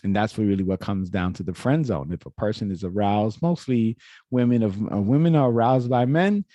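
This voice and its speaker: A man's voice: on the low side, fairly quick, dark tone, no room sound, dull audio. A man hosting a reaction video, giving his opinions in a lecturing way with frequent restarts and self-corrections. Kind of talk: casual opinionated commentary.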